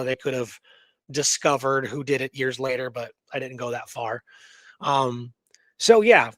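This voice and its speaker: A man with a slightly high voice hosts a conversational radio show. He reads notes deliberately, stressing the details, then drops into casual commentary. The sound is very dry, with no room to it. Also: fairly bright tone, no room sound. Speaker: co-host presenting a true story.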